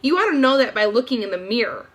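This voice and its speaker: A woman's voice: fairly high pitch, big pitch swings, fast talker, fairly bright tone, heavily acted. A woman voicing a loyal young female classroom helper in a storybook, firm, earnest and defiant. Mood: indignant.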